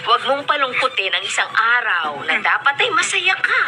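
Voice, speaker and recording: An actress in a radio drama playing a kindly aunt who comforts a boy in a gentle, coaxing voice. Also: fairly high pitch, sing-song, normal speed. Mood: consoling. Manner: warm, coaxing. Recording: old radio broadcast with music.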